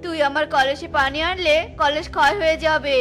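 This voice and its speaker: A woman's voice: high voice, fairly even intonation, normal speed, a bit bright, faint music behind. A woman voicing a selfish, boastful male crow in a children's cartoon, with a scornful, bragging manner.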